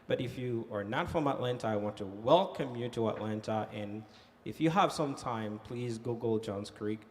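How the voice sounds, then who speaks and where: A man who grew up in Haiti speaks on a conference panel, relating his own experience in an easy, story-telling way with plenty of "uh" and "um". His pitch sits in a middle range. A faint background noise runs under the voice. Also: moderate pace. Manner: animated storytelling with frequent fillers. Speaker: panelist, economic development director. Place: conference hall.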